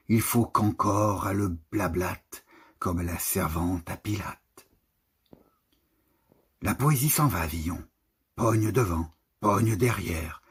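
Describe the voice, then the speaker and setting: Slow, husky man reciting poetry. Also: fairly low pitch. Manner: deliberate phrasing in short measured fragments with pauses. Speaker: poetry reader.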